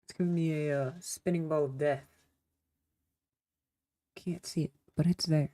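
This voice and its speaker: A woman's voice: deep voice, steady tone, a little slow, warm tone. A woman streamer giving casual gameplay commentary, rambling and talking to herself with lots of fillers. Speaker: small streamer.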